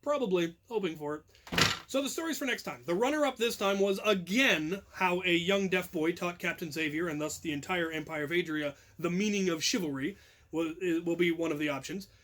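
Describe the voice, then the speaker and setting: A man's voice: high-pitched, brisk, fairly bright tone. A man casually telling a long story with lots of ums and restarts, chuckling at himself now and then. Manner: rambling, self-amused, frequent ums and restarts. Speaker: channel host telling a story.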